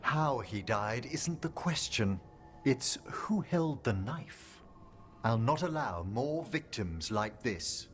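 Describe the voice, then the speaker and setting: Game role: scholarly necromancer gentleman, formal and earnest, very varied intonation, music underneath. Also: medium pitch, expressive, slow pace. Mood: earnest, grave. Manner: formal, eloquent, careful wording. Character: refined, earnest scholarly male necromancer professor. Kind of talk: video game dialogue acting. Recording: music behind the voice.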